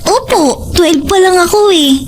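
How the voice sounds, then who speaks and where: A woman's voice: extremely high pitch, measured pace, a little hiss or hum. A woman playing a polite, chatty twelve-year-old schoolgirl in an audio drama. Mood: polite, innocent. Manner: polite, childlike, colloquial.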